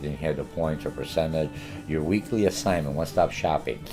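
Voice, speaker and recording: Very deep-voiced older man, rambling. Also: a little fast, over music. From born in Germany, Polish parents. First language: Polish. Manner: rambling, digressive, with many filler "uh"s. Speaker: college marketing instructor. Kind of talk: online course orientation, screen walkthrough.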